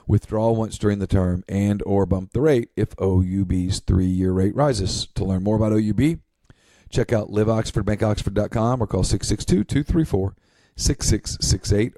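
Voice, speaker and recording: A man's voice: lowish voice, dark tone, no room sound. A man speaking casually as a podcast co-host. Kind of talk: casual storytelling.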